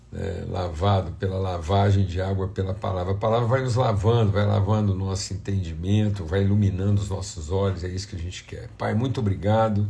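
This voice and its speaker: Low, creaky Brazilian man, slightly hoarse. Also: warm tone. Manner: conversational, addressing viewers as family and brothers. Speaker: pastor hosting a devotional livestream. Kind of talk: informal devotional talk with prayer.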